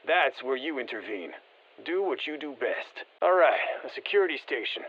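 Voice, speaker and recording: A man's voice: medium pitch, big pitch swings, normal speed, lo-fi sound. An actor playing a confident, folksy male soldier in a video game, giving colloquial, clipped tactical briefings through a radio filter. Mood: briefing, wry. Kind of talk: scripted game voicelines, mission briefing.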